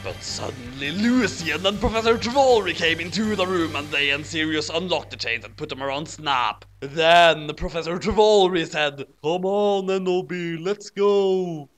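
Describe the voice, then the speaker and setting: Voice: mid-range voice, lively intonation, moderate pace, hardly any pauses, a bit bright, faint music behind. A narrator reading a fan fiction story aloud as scripted narration.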